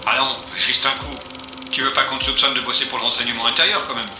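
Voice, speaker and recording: A man's voice: mid-range voice, steady tone, quick pace, lightly acted. A man playing a cynical, commanding police interrogator in an audio drama, sardonic and manipulative. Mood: cynical, smooth. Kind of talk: French audio fiction acting. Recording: roomy echo, music underneath.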